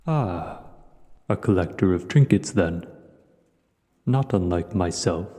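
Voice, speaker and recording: A huge, proud dragon, played by a man, speaks very low and dark in a long, cavernous reverb. He is measured and formal, a haughty, learned hoarder addressing his guest in a fantasy audio roleplay. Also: expressive. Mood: curious, knowing. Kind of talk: audio roleplay, scripted monologue to the listener.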